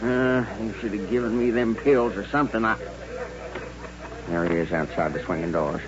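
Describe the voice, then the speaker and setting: A man's voice: lowish voice, a crowd in the background, natural voice. Man as nervous folksy Western barkeep, muffled. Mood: alarmed. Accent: American Western. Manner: folksy, anxious, halting. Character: nervous, folksy Old West saloon barkeep. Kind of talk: 1950s radio western acting. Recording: dull old-time radio recording.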